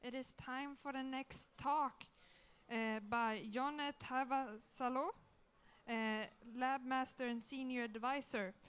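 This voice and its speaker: A woman presenting the next talk at a conference, upbeat and pausing now and then. Her voice sits fairly high and sounds smooth and clear. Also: steady tone, fairly bright tone. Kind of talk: brief speaker introduction.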